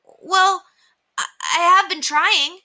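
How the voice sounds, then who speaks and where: A woman's voice: very high voice, steady tone, slow pace, sharp tone, voice pushed up, exaggerated voice. A woman voicing a nervous, hesitant young boy who stammers, in a read-aloud storybook.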